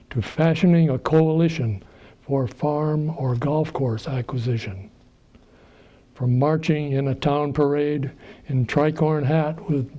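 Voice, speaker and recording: Male poetry reader, dark and unhurried, on slightly dull audio. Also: fairly high pitch. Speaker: reader of poems. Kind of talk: reading rhyming verse aloud.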